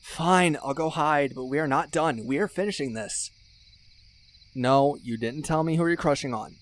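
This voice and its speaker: A young man playing a teasing, earnest, geeky best friend in a casual ASMR roleplay, rambling conversationally with musing pauses. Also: a little high, lightly acted. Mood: teasing, flustered. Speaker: voice actor in an audio roleplay.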